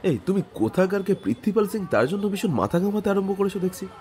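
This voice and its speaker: A man's voice: sits high, sing-song, quick pace, a little mellow. A man playing an inquisitive doctor, the detective's companion in an audio drama, who questions and doubts in a teasing way. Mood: curious, teasing.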